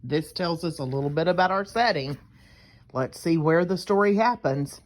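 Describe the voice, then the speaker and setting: A woman's voice: low-pitched, normal speed. A woman teacher reading a picture book aloud to young children, explaining things in simple terms.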